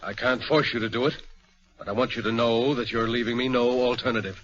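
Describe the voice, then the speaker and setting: A man's voice: medium pitch, steady tone, a little fast, fairly bright tone, lightly acted. A middle-aged actor playing an earnest, indignant stockbroker father, formal and insistent, in an old radio drama. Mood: indignant, determined. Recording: dull vintage radio recording.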